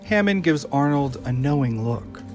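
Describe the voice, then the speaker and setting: A man's voice: mid-range voice, lively intonation, normal speed. Male narrator over music. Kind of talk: scripted narration of stage directions. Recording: music under the voice.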